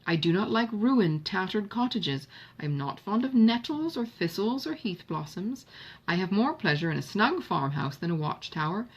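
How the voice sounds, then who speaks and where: A woman voicing a modest, dry young gentleman in an audiobook of a Regency novel, with formal, measured phrasing. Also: mid-range voice, very animated, breathy edge, highs cut off. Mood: firm, wry. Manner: measured, emphatic listing, formal period diction. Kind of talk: audiobook character dialogue.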